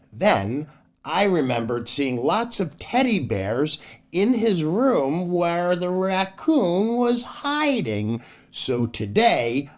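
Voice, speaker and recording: An older American man with a fairly high, very lively voice tells stories to children. He takes his time and addresses his young audience in a theatrical way, with light music behind him. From United States. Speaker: children's storyteller and show host. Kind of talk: expressive children's story read-aloud.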